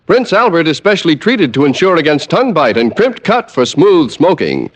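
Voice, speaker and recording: A man's voice: a little high, fairly bright tone. A man reading a scripted mid-century radio advertisement as an announcer, in an emphatic, salesmanlike way. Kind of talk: 1940s-50s radio ad read, scripted.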